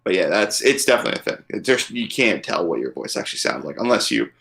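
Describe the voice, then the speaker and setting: A man in a relaxed, agreeable chat on a stream, using headphones and a mic. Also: fairly low pitch, quick pace, creaky. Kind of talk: casual chat.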